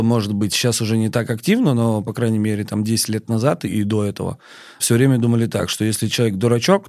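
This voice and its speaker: A man speaking as a chef and guest on a podcast, in a measured, firm, matter-of-fact manner. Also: on the low side, a little mellow, no room sound, studio quality. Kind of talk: podcast interview talk. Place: podcast studio.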